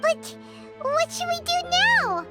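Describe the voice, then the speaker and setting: A woman voicing a tiny floating childlike female companion in a game, who hesitates and stammers when worried, over loud game music. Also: very high voice, big pitch swings, slow pace, heavily acted. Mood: worried, questioning. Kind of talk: game dialogue.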